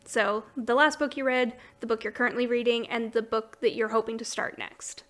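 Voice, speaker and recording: A woman's voice: on the high side, steady tone, moderate pace, fairly bright tone. A woman hosting a podcast in a casual book chat, talking informally and chattily and laughing readily. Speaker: podcast host.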